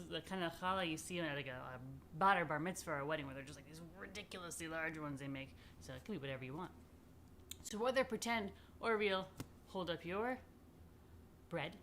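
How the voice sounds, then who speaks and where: A woman with a slightly low voice speaks as a rabbi hosting story time for children. She is chatty and playful, addressing young listeners directly and informally. There is some faint noise in the recording. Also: a bit bright.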